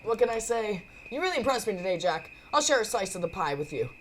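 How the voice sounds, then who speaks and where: A woman's voice: mid-range voice, very animated, quick pace, a little hiss or hum, lightly acted. A woman voicing a cocky, flirty, sporty woman potion maker in a visual novel, brash and teasing. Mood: pleased, brash. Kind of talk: visual novel character dialogue. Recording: faint music behind.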